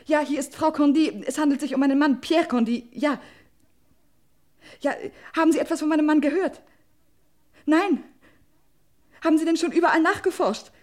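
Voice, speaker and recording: A desperate wife acted by a woman in a vintage radio thriller, her voice fairly high. She pleads with agitated urgency and breaks off her sentences. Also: normal speed, pauses often, a little mellow, close to an everyday voice. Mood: anxious. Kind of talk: old German radio crime play acting.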